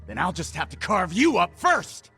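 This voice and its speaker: A man's voice: sits high, huge pitch swings, normal speed, heavily acted. An actor playing a hot-headed male thug snarling threats in a video game dub. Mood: furious, taunting. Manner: menacing, shouted threats. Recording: music behind the voice. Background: game music.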